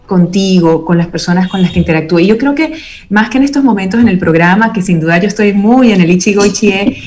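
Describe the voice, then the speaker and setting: A woman's voice: mid-range voice, fast, darkish tone, soft background music. A woman speaking as an interview guest, reflective and earnest in a conversational style. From Venezuela.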